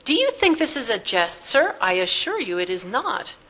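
A woman's voice: lowish voice, expressive, moderate pace, bright, forceful tone, heavily acted. A woman voicing an angry, righteous middle-aged Englishman in a storybook, declaiming formally and indignantly. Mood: defiant anger.

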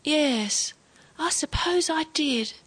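A woman reading a story as a gentle, elderly grandfather, halting, plaintive and apologetic. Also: medium pitch, animated. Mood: sad, worn out. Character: unsettled, grieving elderly grandfather, frail and gentle.

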